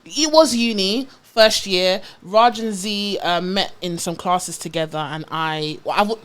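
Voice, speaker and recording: A woman's voice: medium pitch, measured pace. A woman, chatting casually as a podcast co-host. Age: 20s. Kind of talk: casual chat.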